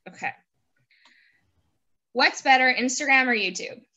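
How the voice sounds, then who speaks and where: A woman hosting a casual interview over a video call, chatting playfully and quick to laugh. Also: sits high, animated, bright, forceful tone, muffled recording. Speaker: host interviewing a guest. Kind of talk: casual chat and quick-fire questions.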